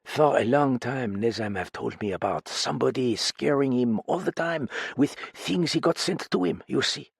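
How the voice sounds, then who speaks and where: Excitable woman in broken English, put on by a male reader, high and pleading, husky edge, dry close sound. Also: moderate pace, hardly any pauses, dry recording. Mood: anxious.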